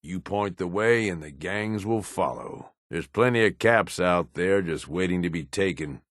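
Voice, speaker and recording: A deep, close and dry male voice for a video game: a gruff middle-aged raider who serves as the boss's right hand. He talks bluntly and pragmatically, worn down by years of experience, in loose, profane slang. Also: measured pace, no room sound. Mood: easygoing, sincere. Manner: blunt, world-weary, drawling slang and profanity. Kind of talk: video game character dialogue.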